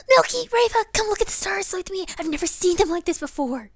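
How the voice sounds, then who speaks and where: Little animal pup, voiced by a woman: high, loud, swooping, eager, breathless wonder, cartoon comic dub. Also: sing-song, moderate pace, no room sound, exaggerated voice. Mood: excited, amazed. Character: small, excitable, wide-eyed young animal pup.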